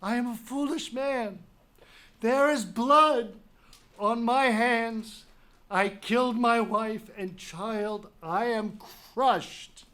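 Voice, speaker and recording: A man's voice: extremely high pitch, takes it slowly, pushed and bright, heavily acted. An actor playing a proud king crushed by grief and guilt, lamenting in anguish in a dramatic reading of a Greek tragedy. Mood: self-loathing, crushed.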